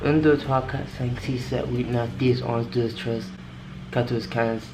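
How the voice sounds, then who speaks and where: A man speaking English, answering with dry deadpan humor as a guest. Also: medium pitch, takes it slowly, noisy background. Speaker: boyfriend guest.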